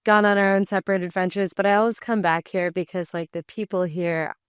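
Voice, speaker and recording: A woman's voice: mid-range voice, steady tone, a little fast, clean tone, dry recording, studio quality. A young woman in her twenties, a podcast guest talking casually, with a lot of "like" fillers and rambling sentences. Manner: rambling, many fillers, acts out voices in anecdotes. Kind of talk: casual conversational interview.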